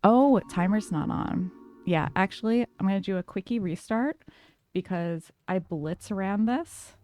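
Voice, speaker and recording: A woman livestreaming a game, talking calmly and softly to her chat with lots of fillers. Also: medium pitch, measured pace, faint music behind. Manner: soft, calm, many fillers. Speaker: streamer.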